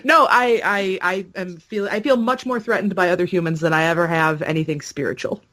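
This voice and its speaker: A woman's voice: fairly low pitch, steady tone, fairly quick, fairly bright tone. A woman podcast guest with a brash, joking manner, swearing freely in casual comedic banter.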